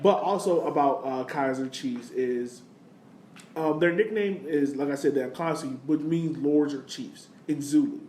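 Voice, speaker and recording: A man's voice: fairly high pitch, moderate pace, darkish tone. An American man hosting a casual sports podcast, chatting informally with plenty of slang. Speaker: podcast host. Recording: roomy echo, background noise.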